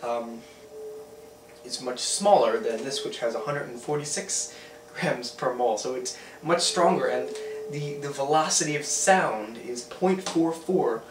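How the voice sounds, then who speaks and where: An earnest young man explaining a home experiment at a middling pitch. He restarts his sentences often and clears his throat. The voice is roomy and distant, with a steady hiss, from a camera mic across a small room. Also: a little slow. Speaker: video host. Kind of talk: home science demonstration. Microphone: camera mic from a few feet away.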